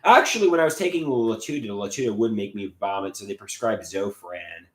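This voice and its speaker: A man hosting a livestream, reading chat messages aloud and answering them, his voice a bit congested, with halting, stammering restarts that trail off. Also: fairly low pitch, expressive, echoey room. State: slightly congested voice.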